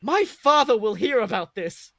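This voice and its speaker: Man putting on a sneering, high-pitched spoiled schoolboy. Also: lively intonation, moderate pace, gravelly, a bit bright, no echo, exaggerated voice. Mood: pouting. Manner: pouting, taunting. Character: spoiled, sneering, petulant schoolboy wizard bully.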